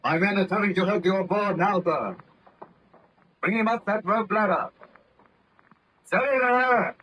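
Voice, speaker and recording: An actor playing a steady, responsible sea captain in an old radio drama, formal, courteous and commanding. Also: male voice, high-pitched, unhurried. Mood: firm, reassuring. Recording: muffled, noisy old radio recording.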